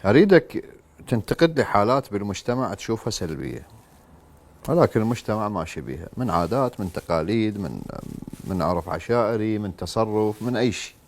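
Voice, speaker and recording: A man with a low voice hosts the show at a quick pace. His pitch jumps about animatedly, and he keeps the guest going with warm interjections. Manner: animated, with frequent encouraging interjections. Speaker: talk show host. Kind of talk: interview questions, lively host chat.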